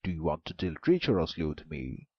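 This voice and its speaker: A man voicing a big, gruff male ogre who questions the girl suspiciously, loud and slow-spoken. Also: rumbling low, big pitch swings, dry recording, highs cut off, voice pushed down, exaggerated voice.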